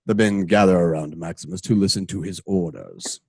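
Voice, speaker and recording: A man's voice: low-pitched, brisk, creaky, slightly rough, no room sound, clean studio sound. A man narrating a radio play from a script in an informal, dramatic way, with casual asides.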